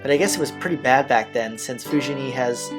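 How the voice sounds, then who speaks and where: A man's voice: medium pitch, brisk. A man hosting a let's-play, reading a visual novel's narration aloud. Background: game music.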